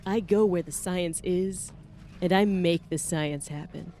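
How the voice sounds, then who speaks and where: A woman's voice: on the low side, unhurried, dark tone, a little hiss or hum. A woman playing a fearless, pompous, self-promoting archaeologist with a theatrical manner in a fantasy roleplay. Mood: grandiose. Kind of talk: tabletop roleplay audio drama.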